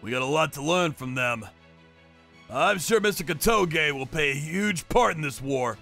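A brash young anime gangster with a sharp, forceful tone. His pitch swings widely and has a gravelly rasp. He is hot-headed and excitable, with faint music behind him. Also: normal speed, exaggerated voice. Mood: impressed. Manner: emphatic, excitable delivery. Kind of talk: anime voice acting.

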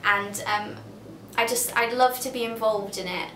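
A woman's voice: fairly high pitch, steady tone, moderate pace, sharp tone, slight background noise. Earnest young woman, echoey room. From Pembrokeshire, Wales. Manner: earnest, heartfelt, hesitant with fillers. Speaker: charity volunteer. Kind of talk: documentary interview. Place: indoor room. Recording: echoey room with music over.

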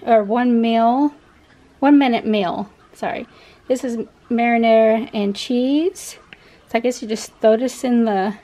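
Unhurried young woman chatting, noisy home recording. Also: on the high side, warm tone. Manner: chatty, rambling, self-correcting. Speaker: vlogger, parent showing a grocery haul. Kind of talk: casual unscripted product show-and-tell. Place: indoors in a home kitchen.

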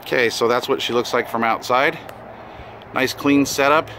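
A man's voice: mid-range voice, normal speed, pushed and bright, a little hiss or hum. A man giving a casual, plain-spoken hobbyist walkthrough, explaining a setup in informal words as he records on a handheld camera outdoors. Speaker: amateur radio hobbyist presenting his setup. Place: outdoors beside a camper trailer, briefly inside it. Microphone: handheld camera or phone, held by the speaker.

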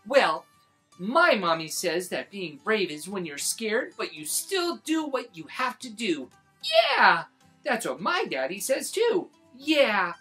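Man doing small, anxious kindergarten kids, lively pitch. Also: slow, husky, soft background music, full character voice. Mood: reassuring. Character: small, anxious but plucky kindergarten children.

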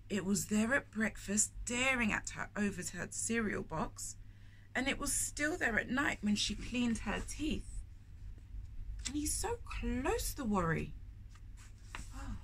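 A woman's voice: fairly high pitch, a bit bright, rough recording. A middle-aged woman hosting storytime, reading a picture book aloud expressively, with chatty asides and questions for young listeners. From lives in London, England. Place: indoors in a bookshop. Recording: clear background noise, shop ambience. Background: background ambience noise.

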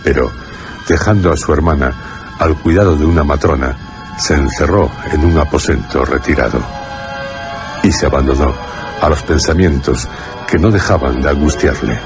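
A man with an extremely deep voice and Castilian accent narrates radio horror. He is grave and deliberate, pausing for drama, with loud music behind him. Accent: Castilian Spanish.

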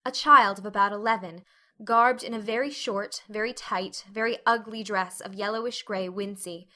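A woman's voice: medium pitch, moderate pace, bright, forceful tone. A woman reading a classic novel aloud as an audiobook narrator, in a scripted, dramatic storytelling style.

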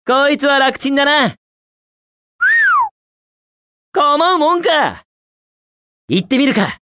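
A man's voice: very high voice, big pitch swings, a little slow, background noise, full character voice. A man voicing a cocky, carefree young hedgehog hero in a video game, with breezy, confident, slangy delivery. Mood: cocky, relaxed.

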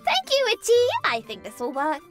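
A woman's voice: sits high, wildly swooping pitch, normal speed, music in the background. A woman voicing an anxious, perfectionist young career woman with a dramatic, needy streak, in an anime-style dub. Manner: melodramatic, whiny when distressed, composed at work.

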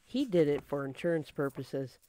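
A polite, efficient secretary from an old-time radio detective story, played by a woman. Her tone is dark and mellow. She speaks in a matter-of-fact way. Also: sits low, expressive, moderate pace. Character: polite, efficient female detective's office assistant.

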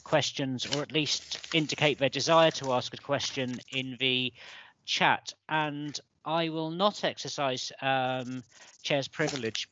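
Bright-voiced man chairing a panel. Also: mid-range voice, slight background noise. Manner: informal, with fillers. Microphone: video call. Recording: dry, muffled video call audio.

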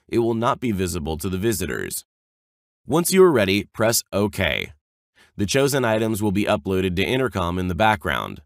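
A man reading a scripted software tutorial, explaining clearly step by step as an instructional narrator. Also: on the low side, dry recording, clean studio sound. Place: close, dry voice-over recording.

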